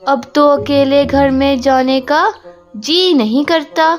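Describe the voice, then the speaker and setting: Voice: high voice, fairly even intonation, takes it slowly, faint music behind. A performer voicing a lonely male sparrow in a cartoon story, pleading plaintively for help. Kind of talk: Hindi cartoon moral story dialogue.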